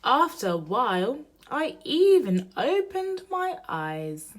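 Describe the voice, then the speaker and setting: Slow, very animated woman reading a storybook. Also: high-pitched. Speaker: storybook reader. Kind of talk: expressive read-aloud of a children's picture book.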